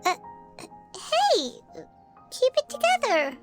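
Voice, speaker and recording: A woman's voice: very high voice, huge pitch swings, takes it slowly, exaggerated voice. A woman voicing a tiny, childlike floating companion, cheeky and proud, in a video game. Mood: alarmed. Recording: prominent music under the voice.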